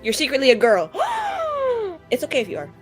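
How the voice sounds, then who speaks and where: Woman playing a blunt, nosy, excitable flirt, lively voice. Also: a little high, a little fast, faint music behind. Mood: excited, teasing. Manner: rambling, slangy, filler-heavy teasing with dramatic gasps. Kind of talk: improvised tabletop roleplay dialogue.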